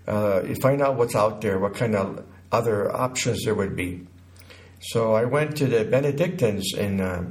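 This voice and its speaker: A man in his sixties from Connecticut recounts his life as an interview guest, haltingly, pausing mid-sentence. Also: lowish voice, a little mellow. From Connecticut, United States. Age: 60s.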